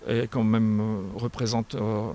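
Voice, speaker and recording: A man's voice: lowish voice, flat delivery, measured pace, warm tone. A man speaking as a guest author in a radio interview, answering in a reflective, hesitant way with trailing phrases. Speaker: guest author and small publisher.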